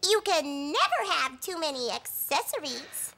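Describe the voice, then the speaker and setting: A woman's voice: high voice, big pitch swings, measured pace, sharp tone, heavily acted. A performer voicing a sweet, eager little fairy girl puppet who chirps and chants. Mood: chirpy. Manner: chirpy, giggly, repeating words in a chant. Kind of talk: puppet educational segment.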